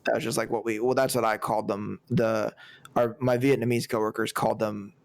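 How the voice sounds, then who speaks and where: A man's voice: on the low side, flat delivery, brisk, creaky. A young man co-hosting a casual movie podcast, talking in a loose, rambling way with many restarts. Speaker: podcast co-host.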